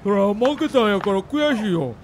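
A man's voice: sits high, animated, normal speed, a little hiss or hum. A man playing a confident, blunt baseball player with a Kansai accent in an audio documentary. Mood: defiant, confident. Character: confident, competitive young male baseball player.

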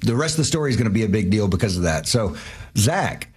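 A man's voice: fairly low pitch, animated, quick pace, darkish tone, breathy edge. A man hosting a radio interview with enthusiasm, often repeating himself and restarting sentences. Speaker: radio show host.

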